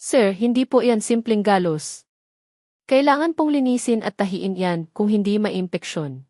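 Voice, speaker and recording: A woman's voice: medium pitch, expressive, measured pace, dark tone, no echo, studio quality, close to an everyday voice. Woman acting a firm, professional young doctor.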